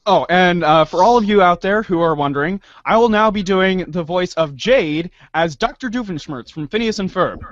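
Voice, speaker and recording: A woman's voice: lowish voice, lively intonation, normal speed, few pauses, dry recording. A woman in casual comic banter as a cast member in a reader's theater show. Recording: muffled, dull lo-fi audio.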